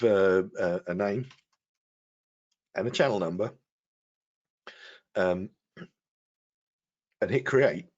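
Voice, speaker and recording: A man with a fairly low voice explains a technical product as a guest expert. He talks through software steps in a halting, explanatory way. The audio is somewhat dull and lo-fi, like a web stream.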